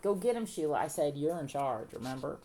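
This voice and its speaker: A woman's voice: low voice, sing-song, a little fast, mellow, slight background noise. A woman voicing a blunt, exasperated older-brother boy in a story reading, curt and grumbling. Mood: annoyed.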